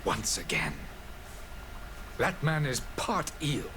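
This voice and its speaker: A man's voice: mid-range voice, very animated, takes it slowly, noisy background. A man playing a stern yet gracious dignitary in a video game, speaking formally and courteously. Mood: impatient, stern.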